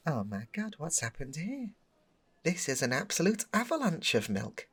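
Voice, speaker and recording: A man's voice: on the high side, big pitch swings, moderate pace, fairly bright tone, husky, pitched above the performer's own voice, heavily acted. A man voicing a fretful store worker in a comedy audio roleplay, flustered, wordy and grandly overdramatic. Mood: aghast.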